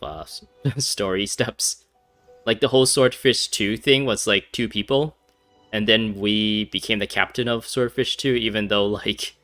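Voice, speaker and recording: A man's voice: fairly low pitch, bright, forceful tone, no echo. A man giving casual gameplay commentary, rambling with many fillers and restarts. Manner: rambling, hesitant, frequent fillers and restarts, laughs often. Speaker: gaming commentator.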